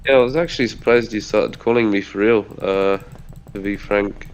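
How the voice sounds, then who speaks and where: A man's voice: on the low side, moderate pace, smooth, low-quality recording. A young man whose first language is Dutch, chatting loosely on a voice call and swearing casually. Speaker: caller joining a stream.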